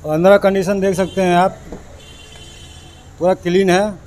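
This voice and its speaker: A man's voice: high-pitched, fairly even intonation, moderate pace, darkish tone. A young man working as a car salesman, giving terse, matter-of-fact details about vehicles on a showroom lot. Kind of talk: short answers about cars. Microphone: lapel mic held in hand.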